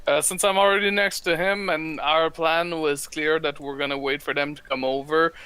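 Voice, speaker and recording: A man playing a tabletop game, joking quickly in casual banter. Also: a little high, steady tone, pushed and bright, clear, resonant tone, dry recording. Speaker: player.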